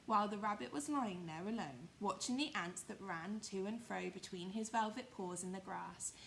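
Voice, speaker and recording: A woman's voice: mid-range voice, a little hiss or hum, poor audio. A woman reading a children's story expressively, with emphatic, dramatised phrasing. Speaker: primary school storyteller.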